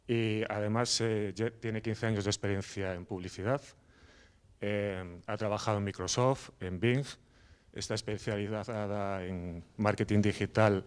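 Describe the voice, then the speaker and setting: A man organizing a meetup, making announcements and introducing the speaker, nervous and halting with many fillers. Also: lowish voice, clean tone.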